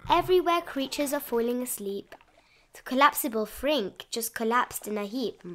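A woman reading a rhyming children's picture book aloud in an expressive sing-song manner. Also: on the high side, moderate pace. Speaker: storybook reader.

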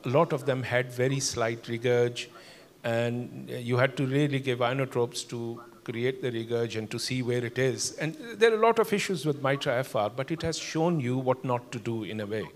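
An older man, a cardiologist on a conference panel, explaining cases in a measured way with many fillers. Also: mid-range voice. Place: large conference hall. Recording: long hall reverb.

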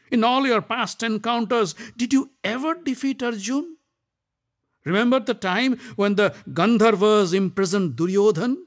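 A scornful older king who needles with every line, as a male storyteller puts him on. He sneers and jeers in a lifted pitch. The telling stays slow. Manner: taunting, sneering.

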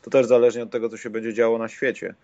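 A man's voice: mid-range voice, level tone, quick pace, dark tone, dry recording. A man hosting a podcast in casual chat, dropping sardonic, joking asides. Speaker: podcast host. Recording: dull, dry lo-fi sound.

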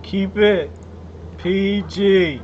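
A man playing a video game with a friend, talking casually and slowly. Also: sits high. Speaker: gamer. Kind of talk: casual gaming chat. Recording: muffled with faint noise.

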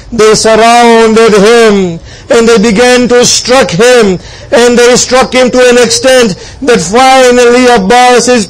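A man with a strikingly high voice delivers a religious lament as a preacher. He speaks slowly and solemnly, shaping the story with impassioned, plaintive drama. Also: low-quality recording. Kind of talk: mournful devotional sermon storytelling.

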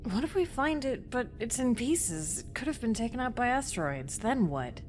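A woman's voice: very animated, normal speed, a little mellow. A woman playing a worried, doubting young astronaut with a hesitant manner in an audio roleplay. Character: anxious, doubting, easily exasperated young female astronaut. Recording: faint low rumbling noise.

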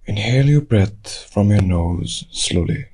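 Deep, husky man guiding meditation slowly. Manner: calm, measured, with short phrases and long pauses. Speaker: meditation guide. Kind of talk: guided meditation, slow scripted instruction.

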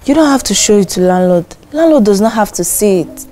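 An actress playing a calm, firm compound elder, speaking in a measured way. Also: female voice, mid-range voice, lightly acted.

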